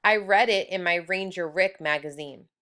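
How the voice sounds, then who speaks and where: A woman's voice: on the low side, moderate pace, a bit bright, smooth, natural voice. A woman reading the lines of a know-it-all third-grade girl in a children's storybook. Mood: informative.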